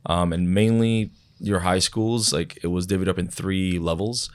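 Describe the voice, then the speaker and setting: A man from Aruba, a native Papiamento speaker, chats loosely on a podcast. His voice sits very low. He wanders through his stories with plenty of fillers. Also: fairly even intonation, moderate pace, clear, resonant tone. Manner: rambling storyteller with frequent fillers. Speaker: DJ guest. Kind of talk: casual podcast chat.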